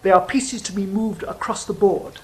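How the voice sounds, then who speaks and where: An actor playing a sly, cynical fallen angel in an audio drama, speaking in a measured, philosophical, teasing way. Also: medium pitch, slight background noise, natural voice. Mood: worldly, dismissive. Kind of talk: theatrical audio drama dialogue and monologue.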